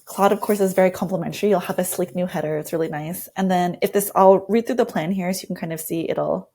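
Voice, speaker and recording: A woman's voice: on the low side, quick pace, dark tone, breathy edge. Woman casually walking through a demo. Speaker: guest product designer demonstrating a workflow. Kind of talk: casual screen-share walkthrough.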